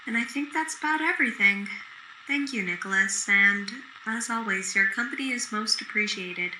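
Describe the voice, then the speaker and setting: A woman playing a gracious, sincere young widowed mother in a radio drama, speaking politely and with a soft heart. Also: medium pitch, moderate pace, natural voice. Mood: grateful, fond. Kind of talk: old-time radio drama acting. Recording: echoey room with faint background noise.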